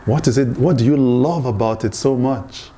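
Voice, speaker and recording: A man interviews in a conversational style. His voice is mellow and of average pitch. He is measured and reflective, summarising what he has heard and framing questions carefully. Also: slight background noise. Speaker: interviewer, host.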